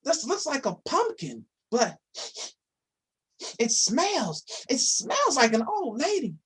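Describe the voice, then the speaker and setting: A man's voice: very high voice, huge pitch swings, slow pace, fairly bright tone, slightly rough, full character voice. A man voicing a suspicious long-nosed wolf in a children's story, insistent and sniffing.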